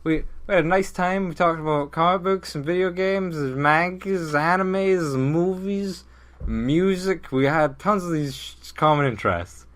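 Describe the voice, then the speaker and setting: A young man co-hosting a casual comedy podcast, chatting and telling stories in a halting, giggly way. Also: fairly high pitch, a bit bright. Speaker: podcast co-host.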